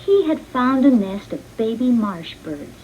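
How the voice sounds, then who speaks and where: A woman reading a children's storybook in an expressive, deliberate read-along manner. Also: fairly high pitch, highs cut off, noisy background. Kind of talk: scripted children's storybook narration.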